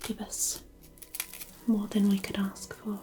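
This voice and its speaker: A woman playing a gentle, kindly forest fairy in a soothing fantasy ASMR roleplay, murmuring softly and reassuringly. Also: mid-range voice, completely flat delivery, slow, lightly acted. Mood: soothing, fond.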